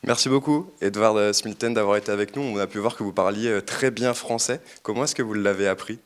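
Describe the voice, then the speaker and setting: A man from France hosting a radio interview, asking questions in a measured, formal way. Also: on the low side. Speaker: radio host.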